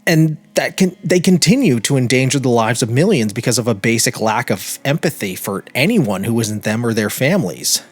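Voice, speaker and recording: An American man hosting a podcast, speaking in an earnest, emphatic way with deliberate phrasing. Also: medium pitch, animated. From United States. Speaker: podcast host and narrator. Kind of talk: podcast introduction and personal commentary. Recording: music behind the voice. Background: background music.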